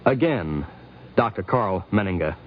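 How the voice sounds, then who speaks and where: Low-voiced 1950s radio narrator, male. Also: lively intonation, unhurried, slight background noise. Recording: muffled 1950s radio broadcast.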